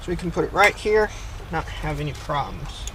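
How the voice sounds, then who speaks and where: A man's voice: fairly high pitch, big pitch swings, normal speed, heavy background noise. A man hosting a casual hands-on how-to video, talking through each step and thinking aloud as he works inside a vehicle. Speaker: host of a how-to video channel. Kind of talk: casual hands-on tutorial narration. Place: inside or beside a parked vehicle.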